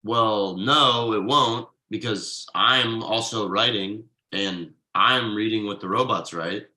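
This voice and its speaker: A man in a script table read playing a defensive, evasive husband and father, conversational and a little halting. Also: fairly low pitch, sharp tone, exaggerated voice, low-quality recording. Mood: defensive, exasperated. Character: defensive, evasive middle-class husband and father writing ad copy.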